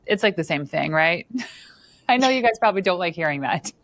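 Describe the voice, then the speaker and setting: A woman from Northern Ontario hosting an interview podcast, chatty and quick to laugh, with plenty of fillers. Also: on the low side, lively intonation, dry recording. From Northern Ontario, Canada. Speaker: podcast host. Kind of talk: casual interview chat.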